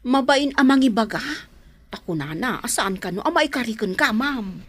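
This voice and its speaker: A woman with an Ilocano accent playing a nosy, kindly household confidante in a radio drama, prying and expressive. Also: a little high, normal speed, slightly rough. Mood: coaxing.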